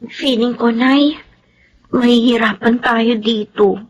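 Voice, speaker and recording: Devoted young daughter, earnest, respectful, breathy and slightly higher voice. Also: female voice, steady tone, takes it slowly, muffled recording. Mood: uneasy. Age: young adult. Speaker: radio drama actor.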